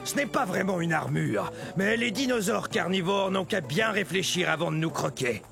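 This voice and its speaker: Bossy proud dinosaur coach in a kids cartoon, really gravelly, lively pitch, music under it. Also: male voice, moderate pace, heavily acted. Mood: commanding, proud. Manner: barking orders like a coach. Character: hearty male stegosaurus sports coach, commanding and proud. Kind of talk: animated educational cartoon for children.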